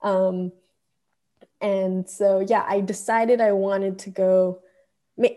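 A woman's voice: mid-range voice, level tone, slow pace, mellow. A young woman from Japan, giving a casual, conversational account of herself as an interviewee. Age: young adult.

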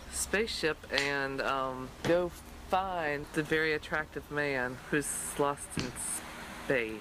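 A performer playing a reluctant, skeptical woman first officer in an improvised comedy sketch, deadpan and halting. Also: medium pitch, background noise.

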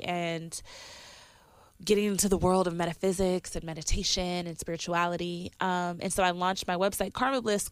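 A woman's voice: on the low side, clean tone, no room sound. A woman guest on a podcast, talking about her work and mission in a casual way, slightly congested and sniffly, with frequent "like" and "um" fillers. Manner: warm, reflective, many "like" and "um" fillers.

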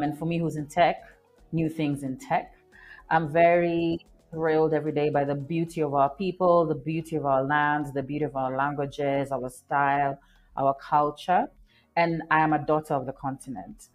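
Soft-spoken Kenyan-born woman scientist guest. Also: deep voice. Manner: warm-hearted, rambling with fillers and soft laughs. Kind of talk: casual interview answers.

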